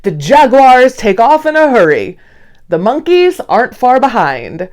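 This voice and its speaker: A woman reading a children's picture book aloud expressively, with deliberate storyteller phrasing. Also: a little high, big pitch swings, darkish tone. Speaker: read-aloud host.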